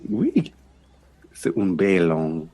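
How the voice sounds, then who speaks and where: An actor playing a cultured, affectionate lover and pianist in an audio drama, wry, teasing and poetic. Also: male voice, sits low, big pitch swings, takes it slowly, warm tone, exaggerated voice. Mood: playful.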